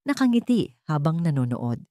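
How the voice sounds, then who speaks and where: A woman's voice: deep voice, big pitch swings, moderate pace, dark tone, no room sound, studio quality. A woman narrating a dramatic romance story in a sentimental, emphatic storytelling manner.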